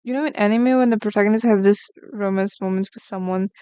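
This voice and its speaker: Woman, casual streamer chat, smooth resonant voice, mid pitch. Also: fairly even intonation, quick pace, mellow, no room sound. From Brazil. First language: Portuguese. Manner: chatty, reacts to chat messages. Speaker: artist streamer. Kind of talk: casual live-stream chat while drawing.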